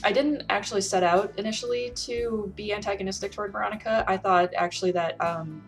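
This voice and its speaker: A woman's voice: mid-range voice, fairly even intonation, a little fast, soft background music. A woman guest giving a casual, conversational and reflective account. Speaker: guest game developer and roleplayer. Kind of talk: casual spoken account of a game.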